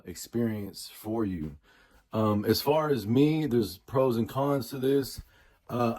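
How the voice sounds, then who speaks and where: A man's voice: lowish voice, darkish tone. A man in his late thirties giving a casual, rambling product review as a vlogger, often repeating and rephrasing himself. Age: late 30s. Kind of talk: casual talk to camera.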